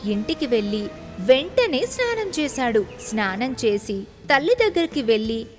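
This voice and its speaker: A woman's voice: on the high side, sing-song, moderate pace, soft background music. A woman narrating scripted moral stories for children as a storyteller.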